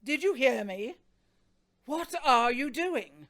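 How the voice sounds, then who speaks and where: A woman's voice: high-pitched, animated, takes it slowly, a bit bright, exaggerated voice. Woman acting a curt, domineering shopkeeper wife. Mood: scornful, bossy. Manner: curt, scolding. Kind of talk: radio play acting.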